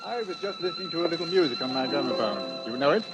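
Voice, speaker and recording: An actor playing a proud, chatty chief inspector with a taste for the gruesome, in a vintage radio suspense drama. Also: male voice, very high-pitched, wildly swooping pitch, very fast, over loud music, full character voice. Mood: genial, boastful. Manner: chatty, hesitant, relishing gruesome detail. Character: proud, genial British police chief inspector with macabre hobby. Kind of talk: 1940s radio suspense acting. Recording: muffled old-time radio recording.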